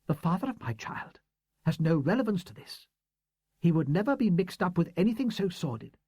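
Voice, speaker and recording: A man's voice: high-pitched, sing-song, normal speed, mellow, husky, dry recording, studio quality, pitched above the performer's own voice, exaggerated voice. A man reading an audiobook, putting on a proud, bitter older female schoolteacher with a clipped, indignant manner.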